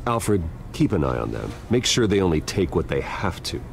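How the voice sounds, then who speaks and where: Low-voiced, guarded rich American businessman. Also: sing-song, normal speed, vocal fry, slight background noise, full character voice. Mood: tense, guarded. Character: wealthy, guarded businessman defending his family's name. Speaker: video game voice actor. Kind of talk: dramatic game dialogue.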